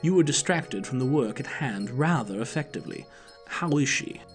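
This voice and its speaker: A man's voice: mid-range voice, animated, moderate pace, faint music behind, lightly acted. Audio drama: aging sleuth, male actor. Mid-range, clipped, aloof, superior, cerebral. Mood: aloof, musing.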